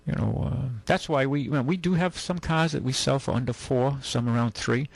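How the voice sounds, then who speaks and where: A man hosting a call-in radio show, giving casual advice in a halting, rambling way. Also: medium pitch, vocal fry, no room sound. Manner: halting, rambling, conversational explanations. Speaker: radio call-in show host, car dealer.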